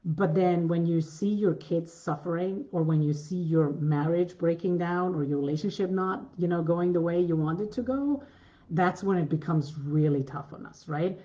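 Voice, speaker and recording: Deep-voiced woman coaching, earnest. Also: normal speed, dark tone, dull audio. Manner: earnest, repetitive, many restarts. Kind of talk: masterclass talk.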